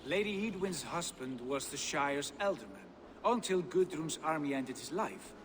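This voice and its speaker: An actor playing a proud, ambitious Norse warlord in a video game, lordly and declamatory. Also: male voice, fairly high pitch, animated, moderate pace, natural voice. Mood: earnest, confident. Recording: game dialogue over clear ambient noise.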